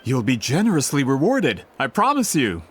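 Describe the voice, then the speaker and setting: A man's voice: medium pitch, wildly swooping pitch, moderate pace, fairly bright tone, faint music behind. An actor playing a polite, eager book merchant with a theatrical salesman's manner in a video game. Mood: persuasive.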